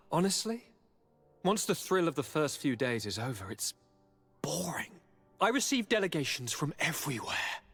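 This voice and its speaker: Restless young aristocrat, game cutscene, theatrical courtly delivery, very lively swooping pitch, music behind. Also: male voice, unhurried, long pauses, husky, heavily acted. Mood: animated, bored, scornful. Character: flamboyant young male noble governor, sickly, restless, bitter. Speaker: voice actor. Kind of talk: video game cutscene dialogue.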